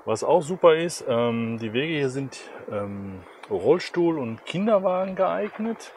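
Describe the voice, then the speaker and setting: A man's voice: on the high side, big pitch swings, a little slow, darkish tone. A man in his fifties chatting casually to camera outdoors in short, jokey, colloquial remarks, recorded at arm's length. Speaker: travel vlogger. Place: outdoors in gardens and town streets. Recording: outdoor ambient noise.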